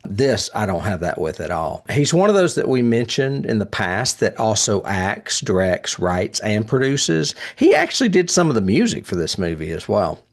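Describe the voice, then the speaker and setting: A man's voice: lowish voice, sing-song, moderate pace, hardly any pauses. A man hosting a casual film review chat, speaking with enthusiasm and often chuckling. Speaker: podcast host reviewing horror films.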